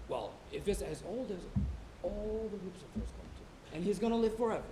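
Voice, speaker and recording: Man playing a mellow-toned, argumentative sailor. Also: high-pitched, animated, brisk. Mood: doubting. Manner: insistent, argumentative. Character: skeptical, questioning sailor, needling his shipmate. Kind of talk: theatrical stage acting. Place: college theatre stage. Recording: roomy auditorium stage recording, some hiss.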